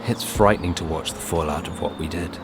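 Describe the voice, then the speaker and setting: An actor playing a battered, loyal, reflective immortal rebel in an audio drama, speaking earnestly and intimately. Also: male voice, sits low, a little fast, background noise. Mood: somber, reflective. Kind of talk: naturalistic audio drama acting. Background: music.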